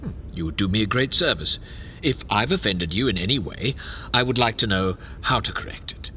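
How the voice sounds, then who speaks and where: A man playing a smooth, scheming royal chamberlain in an audio drama, unctuous and courteous, speaking deliberately. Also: lowish voice, expressive, bright, forceful tone, slight background noise. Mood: ingratiating.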